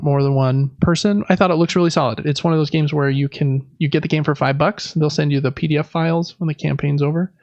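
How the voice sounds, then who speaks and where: A man's voice: on the high side, creaky, a little mellow, no room sound, highs cut off. A man hosting a casual board game podcast, talking in an informal, conversational, meandering way. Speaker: podcast host.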